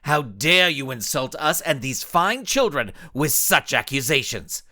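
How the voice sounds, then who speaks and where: A man's voice: mid-range voice, big pitch swings, measured pace, bright, forceful tone, no room sound, studio quality, heavily acted. A man voicing a haughty male skull-headed villain in a story, formal and easily affronted. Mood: indignant. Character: indignant male skull-headed villain coaching children's soccer.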